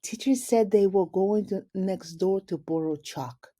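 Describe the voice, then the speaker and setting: A woman reading a picture book aloud like a teacher, deliberately, pausing to explain words simply for children. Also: medium pitch, mellow. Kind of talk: expressive read-aloud storytelling with explanatory asides for children.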